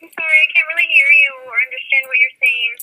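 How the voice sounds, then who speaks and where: A woman's voice: high-pitched, very fast, bright, forceful tone, poor audio. A woman working in customer service, answering calls over the telephone. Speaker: customer service phone worker. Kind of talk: customer service phone talk.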